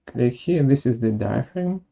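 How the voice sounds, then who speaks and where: A software webinar presenter narrating a tutorial step by step in a measured way, halting between steps. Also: medium pitch, expressive, gravelly, dull audio.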